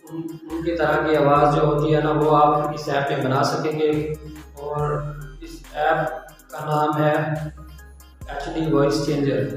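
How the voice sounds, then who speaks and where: A man's voice: fairly high pitch, low-quality recording. A man hosting a casual tech app tutorial.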